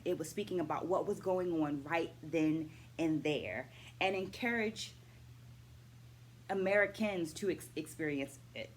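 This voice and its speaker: Middle aged woman doing a book review vlog, kinda low voice, careful teacherly delivery, bit of background noise. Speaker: book-review vlog host, dance educator. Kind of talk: educational book discussion to camera.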